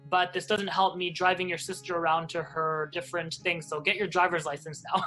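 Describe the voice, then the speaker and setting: Man, Hebrew; bright, mid pitch; chatty guest who restarts often; faint music behind. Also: rough recording. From Israel. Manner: chatty, many restarts and ums. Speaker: airline captain, guest. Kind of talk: interview, personal storytelling.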